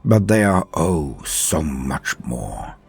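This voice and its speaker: A man with a low, husky voice delivers scripted lore narration in a dramatic, formal style. His pitch moves widely as he goes, with ornate phrasing throughout. Music plays behind him. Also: big pitch swings, takes it slowly. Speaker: host and narrator of a guide channel.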